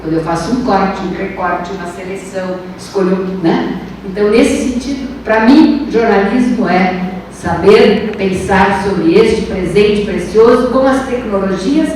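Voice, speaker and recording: A woman's voice: medium pitch, unhurried, darkish tone. A Brazilian woman academic answering on a panel in an emphatic, didactic way, returning to key phrases. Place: large echoing room at a university event. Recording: very echoey room, distant mic, faint noise.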